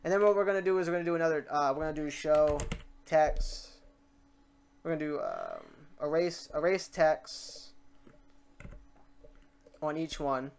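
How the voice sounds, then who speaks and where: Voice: mid-range voice, big pitch swings, moderate pace, creaky, pauses often. Casual tutorial host, noisy recording with typing. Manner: informal, muttering asides and self-corrections while working. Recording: noisy room, keyboard typing and clicks. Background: keyboard typing, mouse clicks, background noise.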